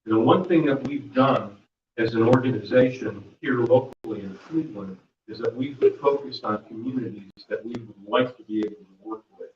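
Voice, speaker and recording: Booming man presenting in an echoey room. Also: normal speed, slight background noise. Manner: loose, rambling delivery with many fillers and restarts. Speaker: volunteer mentor presenting a workshop. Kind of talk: community workshop presentation. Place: meeting room. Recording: dull, echoey room audio from far off.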